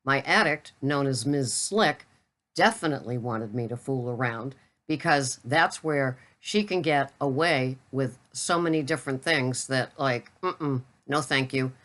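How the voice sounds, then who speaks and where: An older woman with a low voice talks straight to camera in a loose, rambling vlog chat. She pokes fun at herself as she goes. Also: unhurried, a bit bright. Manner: chatty, rambling, self-deprecating. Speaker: keto vlogger. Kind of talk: casual talking-head chat.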